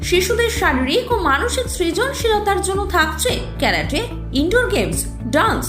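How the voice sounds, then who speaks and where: A woman's voice: very high-pitched, very animated, moderate pace, roomy sound. A woman reading a scripted promotional voice-over for an advert. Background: music.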